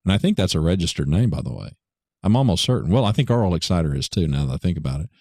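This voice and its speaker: A man with a baritone voice talking casually about studio gear, joking and correcting himself, into a studio microphone in a home studio. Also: big pitch swings, racing, darkish tone, no room sound. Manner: joking, rambling, self-correcting. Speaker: podcaster explaining studio gear. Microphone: studio microphone through hardware processor.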